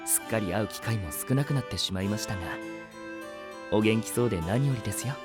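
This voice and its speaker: A man's voice: lowish voice, fast talker, music in the background, close to an everyday voice. A male actor playing a serene, elegantly polite young knight with a lightly teasing composure in an anime audio drama. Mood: courteous, welcoming.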